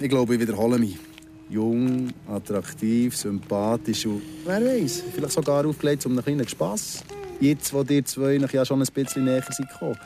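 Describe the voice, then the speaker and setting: A man's voice: medium pitch, background noise, close to an everyday voice. An actor playing an insinuating paroled convict in his thirties in a radio drama, speaking Swiss German with a probing, mock-understanding manner. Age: 30s. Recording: music behind the voice.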